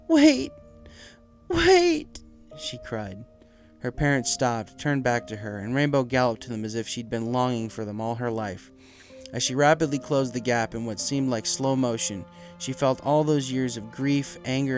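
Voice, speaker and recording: A man reading a story aloud as dramatic scripted narration. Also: medium pitch. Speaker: story reader. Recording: music playing behind the voice. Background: music.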